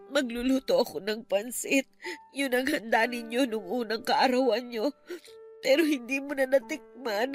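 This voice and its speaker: A woman playing an emotional, pleading mother in tearful Filipino radio drama, with a Filipino accent. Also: high-pitched. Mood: tender, wistful. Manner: emotional, pleading, drawn-out delivery. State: crying. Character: tearful, pleading older mother reunited with lost son. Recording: music under the voice.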